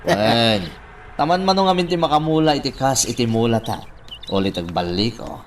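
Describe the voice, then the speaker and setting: A man performs a friendly younger man in a radio drama. His mid-range voice moves in lively steps, curious and respectful. There is faint noise in the recording. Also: medium pitch, moderate pace, lightly acted.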